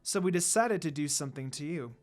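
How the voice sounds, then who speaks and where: A man's voice: a little high, animated, fairly quick, natural voice. Man reading as a smug, threatening evil sorceress.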